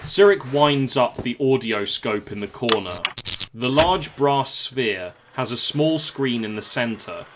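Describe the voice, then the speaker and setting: A man's voice: mid-range voice, expressive, a little slow, noisy background. A man narrating a scripted audio drama as the storyteller.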